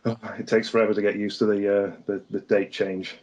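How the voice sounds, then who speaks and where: An Englishman with a Lancashire accent talks through a dull, lo-fi line. His voice sits a little low, and he fills his answers with hesitant uh and um as he explains his comic work in a casual podcast chat. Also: flat delivery, brisk, a little mellow. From England. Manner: hesitant, many "uh" and "um" fillers. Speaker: guest, comic artist.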